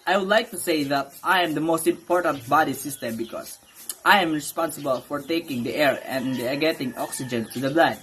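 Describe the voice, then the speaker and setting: An insistent respiratory system claims top importance in an amateur educational courtroom play, read by a man. The voice is fairly high and explanatory. Light noise sits behind it on a home recording. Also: fairly bright tone, natural voice. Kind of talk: amateur reader's theater, scripted educational play.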